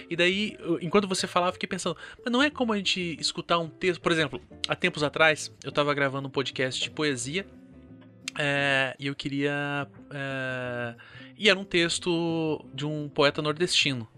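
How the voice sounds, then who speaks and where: Bright-voiced man with a Curitiba accent. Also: a little high. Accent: Curitiba Brazilian Portuguese. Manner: animated, informal, swears casually. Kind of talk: casual podcast chat.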